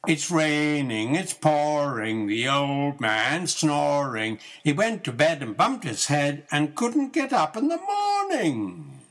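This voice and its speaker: An older man reciting nursery rhymes as a grandfather would, in a rhythmic, measured singsong with drawn-out line endings. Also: fairly high pitch, very animated, fairly bright tone.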